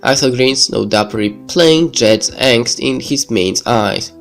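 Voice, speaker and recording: A man's voice: mid-range voice, takes it slowly, creaky, fairly bright tone. Man reading game text over music. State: sick. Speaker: let's play video host. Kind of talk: reading visual novel script aloud. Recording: music behind the voice. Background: background game music.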